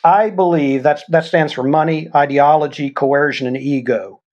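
A man's voice: a little high, steady tone, normal speed, a little mellow, lo-fi sound. An older man, a guest on an interview show, earnestly explaining things through examples and stories. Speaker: guest, former intelligence officer. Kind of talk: conversational storytelling interview.

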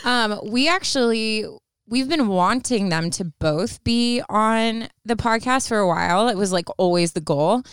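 A bubbly woman co-hosting a podcast, chatting casually with plenty of "like" along the way. Her voice is smooth and clear at a middle pitch. The sound is dry and close, with no room around it. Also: unhurried, hardly any pauses, no room sound. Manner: bubbly, animated, many "like" fillers. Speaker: podcast co-host. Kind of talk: casual chat.

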